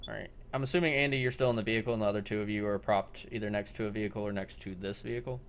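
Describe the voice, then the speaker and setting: A man acting as game master, narrating a role-play scene in a halting, descriptive way and putting questions to players. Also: on the low side, fairly even intonation, slight background noise. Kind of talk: improvised narration of a tabletop-style zombie role-play.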